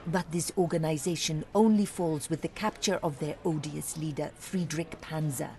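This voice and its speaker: A playful young woman journalist teases and spins headlines in a detective game. Her voice sits a little low, and her delivery is theatrical and sly. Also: fairly even intonation, normal speed, darkish tone. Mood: businesslike. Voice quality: teasing, theatrical.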